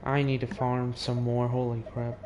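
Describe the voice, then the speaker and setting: A teenage male gamer comments casually on play, often muttering and swearing. The voice is mid-pitched, in a home recording with clear background noise. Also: monotone, moderate pace. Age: teens. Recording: noisy home gaming recording.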